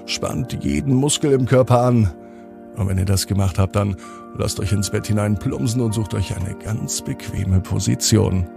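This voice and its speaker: A man narrating a bedtime story for children as a podcast host, phrasing deliberately with dramatic pauses. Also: lowish voice, creaky, music in the background.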